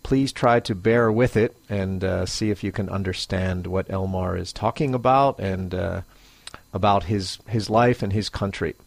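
A man's voice: low voice, measured pace, vocal fry. A man hosting a casual interview in a cafe, friendly and apologetic, with many fillers. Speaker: host, interviewer. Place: noisy cafe. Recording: loud cafe music behind.

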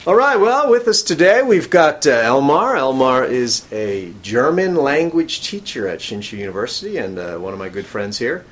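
A man hosting a podcast for English learners, speaking slowly and clearly with frequent fillers. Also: medium pitch, very animated, fairly bright tone. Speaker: podcast host and English teacher.

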